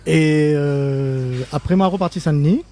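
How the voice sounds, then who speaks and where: Older man, réunion creole-accented french, mellow and warm tone, rambling casual talk, frequent laughs. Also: a little high, unhurried. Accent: Réunion Creole-inflected French. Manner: rambling, laughs often, many restarts. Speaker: musician guest. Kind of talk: casual live interview.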